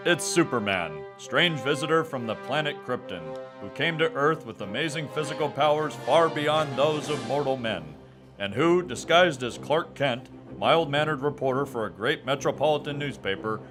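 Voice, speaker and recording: A man narrating an old-style radio serial in a dramatic, booming announcer manner. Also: animated, moderate pace, over music.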